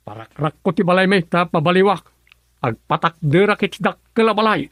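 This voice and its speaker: A man playing an excited husband who boasts about building a big new house in a radio drama. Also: high-pitched, brisk. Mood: eager. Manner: eager and boastful.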